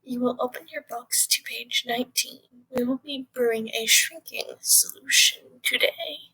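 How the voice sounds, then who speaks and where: A woman playing a stern, scornful male potions teacher with a husky voice and precise, withering delivery. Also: fairly high pitch, moderate pace, bright, forceful tone, no room sound, full character voice, low-quality recording.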